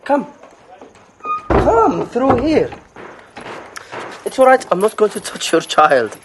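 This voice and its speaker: An actor playing a callous slave merchant in an audio drama, coaxing and polite with menace underneath. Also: male voice, very high-pitched, sing-song, takes it slowly, noisy background, exaggerated voice. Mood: genial, menacing. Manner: casual, coaxing politeness laced with threat.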